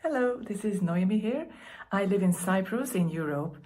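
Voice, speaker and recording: A woman from Hungary, giving a calm, measured introduction to her own collage work. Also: medium pitch. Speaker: collage artist. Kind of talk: prepared video introduction. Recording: music bed under the voice.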